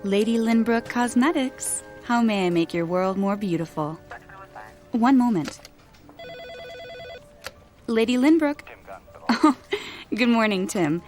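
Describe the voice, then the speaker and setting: Patient female secretary, fairly high voice, courteous, professional, radio play, faint music behind. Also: expressive, slow, pauses often, darkish tone. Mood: polite, patient. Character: polite, long-suffering secretary to a difficult boss. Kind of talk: radio drama acting.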